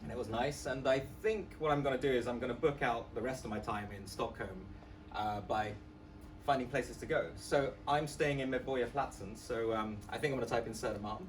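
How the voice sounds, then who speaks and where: A man presents his app in a relaxed, chatty way, filling pauses with plenty of ums and uhs. His voice sits at a middle pitch. He is heard from some distance off the mic. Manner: casual, chatty, with many fillers. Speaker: student presenter. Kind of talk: live app demo walkthrough. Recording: voice from far off the mic.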